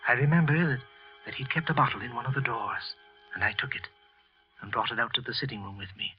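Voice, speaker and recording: A nervous office worker played by a man narrates his crime in an old radio drama. His mid-range voice moves between hushed brooding and agitated intensity. The recording is dull and muffled, with music behind it. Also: medium pitch, animated, fast, lightly acted. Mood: shaken, fearful. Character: nervous male office employee confessing a murder.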